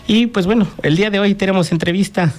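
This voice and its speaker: A man's voice: sits high, fast talker, darkish tone, faint music behind. Male host asking long winding questions. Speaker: radio host. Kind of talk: interview hosting.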